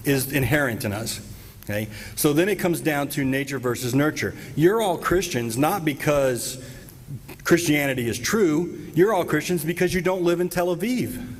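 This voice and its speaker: Middle-aged male debater from the US, emphatic and argumentative, podium mic in a big auditorium, reverberant. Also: fairly high pitch. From United States. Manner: assertive, argumentative, emphatic gestures. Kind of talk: formal debate cross-examination. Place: large auditorium stage. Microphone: podium microphone. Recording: hall reverb, faint background noise.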